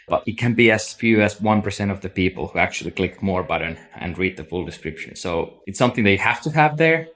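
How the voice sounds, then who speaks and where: Finnish man with a lowish voice. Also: fairly bright tone. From Finland. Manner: explanatory, many fillers like "like" and "so". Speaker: agency CEO, guest. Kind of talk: podcast interview.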